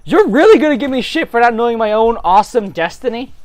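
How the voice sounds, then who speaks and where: A woman's voice: fairly high pitch, lively intonation, quick pace, darkish tone, dry recording, pitched above the performer's own voice, close to an everyday voice. Woman as determined young fantasy hero, emphatic, indignant, dry close sound, faint music, amateur reading. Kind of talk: amateur dramatic fan-fiction reading.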